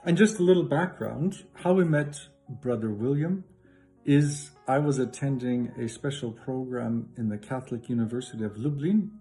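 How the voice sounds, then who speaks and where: A man's voice: medium pitch, moderate pace, warm tone, husky, soft background music. A middle-aged man from Canada, a professor and lay preacher, giving an enthusiastic greeting and Bible teaching with frequent restarts.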